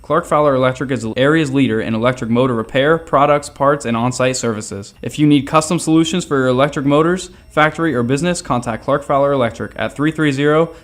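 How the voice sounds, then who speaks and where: A man representing a local business, reading a scripted radio ad. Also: mid-range voice.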